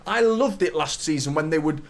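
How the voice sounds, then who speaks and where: Slightly hoarse man ranting. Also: a little high, expressive, fairly quick. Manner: opinionated, ranting, greets viewers by name. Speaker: host of a football fan watchalong. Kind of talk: live stream chat and commentary.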